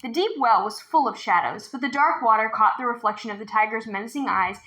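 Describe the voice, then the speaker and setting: A woman narrating a children's novel aloud in an expressive storytelling style. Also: on the high side, fairly quick, a little hiss or hum.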